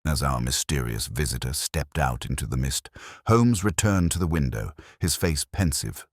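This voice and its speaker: A man narrating a scripted story in a measured, formal manner. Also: extremely low pitch, lively intonation, no room sound, clean studio sound. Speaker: audiobook narrator.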